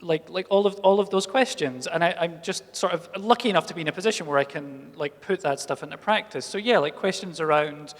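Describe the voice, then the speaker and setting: Thoughtful man near forty, fairly high voice. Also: brisk, hardly any pauses. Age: late 30s. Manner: thoughtful, rambling, many restarts. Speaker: panelist. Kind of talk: casual panel talk. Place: festival talk hall. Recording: long hall reverb.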